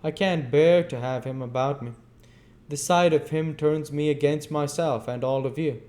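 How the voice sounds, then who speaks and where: A man's voice: a little high, normal speed, warm tone, pitched above the performer's own voice, lightly acted. A man voicing a bitter, defiant young woman of the streets.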